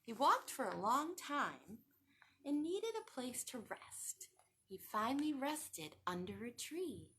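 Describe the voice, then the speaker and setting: High, animated woman reading to children. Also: takes it slowly, slight background noise. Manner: expressive, asks the listeners questions. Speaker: children's storytime reader. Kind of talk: interactive picture book read-aloud for young children.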